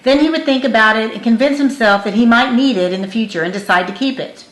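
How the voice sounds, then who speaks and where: A woman's voice: fairly high pitch, fast. A woman reading a scripted story aloud as an audiobook narrator. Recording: roomy, echoing room.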